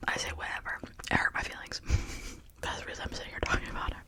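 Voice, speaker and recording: A person speaking in a breathy, unvoiced whisper, rambling in a confiding, personal way for an ASMR video. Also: quick pace.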